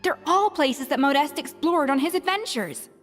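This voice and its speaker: A woman's voice: sits high, animated, normal speed, in a large hall, faint music behind. A woman voicing a blunt, easily exasperated young woman in an anime fantasy game. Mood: pensive.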